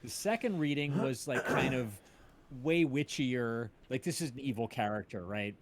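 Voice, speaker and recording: A man chatting casually in an interview as an audio drama creator, telling animated anecdotes and often checking in with tag questions. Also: mid-range voice, moderate pace. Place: indoors, on a group video call.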